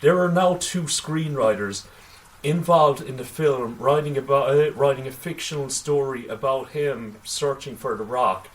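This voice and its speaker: A man co-hosting a casual film news podcast, sometimes reading items out, with halting delivery and wry asides. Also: medium pitch. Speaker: podcast co-host. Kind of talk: casual film news discussion, partly read out. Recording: voice slightly distant from microphone.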